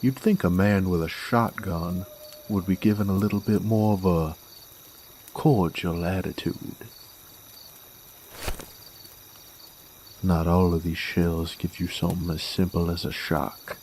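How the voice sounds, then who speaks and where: A man playing a sardonic, menacing werewolf bounty hunter in a Western audio drama, with a folksy American Western drawl and a gravelly, unhurried delivery. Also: deep voice, fairly even intonation, darkish tone, slight background noise. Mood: quietly threatening. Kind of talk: Western audio roleplay, intimate dramatic monologue. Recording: faint outdoor night ambience with crickets. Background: crickets and insects.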